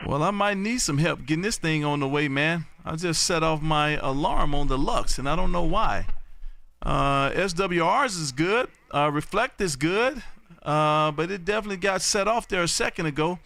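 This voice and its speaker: A man's voice: on the high side, lively intonation, moderate pace, creaky, pushed and bright, no echo. An American man hosting an amateur radio live stream in a folksy, repetitive patter, chatting casually with callers. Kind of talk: lively ham radio live stream chat.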